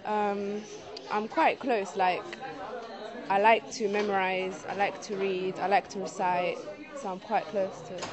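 A woman at the event as a spectator, answering interview questions softly, with frequent ums and likes. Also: medium pitch, flat delivery, a little slow. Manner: soft-spoken, with many ums and likes. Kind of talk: vox-pop interview answers. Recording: crowd chatter behind.

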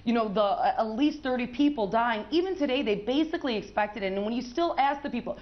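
A woman's voice: fairly high pitch, animated, fast, dull audio. A woman correspondent giving a live field report, earnest and often repeating her words.

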